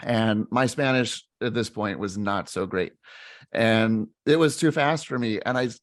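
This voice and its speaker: A man in his thirties with a fairly low voice recalls a story in an earnest, halting way, often restarting his sentences. The sound is dry and close to the mic, with no room around it. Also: no echo. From United States. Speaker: teacher and podcast co-host. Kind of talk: reflective storytelling in casual chat.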